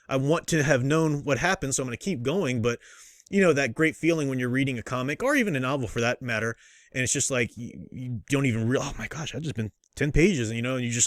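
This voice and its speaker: A man's voice: mid-range voice, no room sound, clean studio sound. A man hosting a casual review, explaining things in an enthusiastic, emphatic, teacherly way. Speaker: host and book reviewer. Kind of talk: casual comic review commentary.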